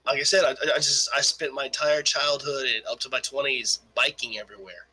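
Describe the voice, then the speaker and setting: A man in his forties argues over online voice chat, restarting his sentences and swearing freely. His voice is bright and forward with a husky edge, and he jokes crudely as he goes. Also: medium pitch, fairly even intonation, brisk, rough recording. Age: 40s. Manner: stammering, profane, joking. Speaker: debate stream participant. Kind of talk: crude casual argument.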